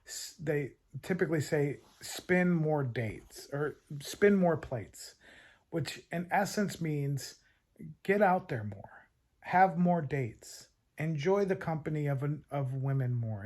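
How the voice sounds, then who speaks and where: Guy coaching on dating, kinda high voice, earnest, restarts his sentences a lot. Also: darkish tone. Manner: earnest, repeats words when restarting. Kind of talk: advice talk to camera.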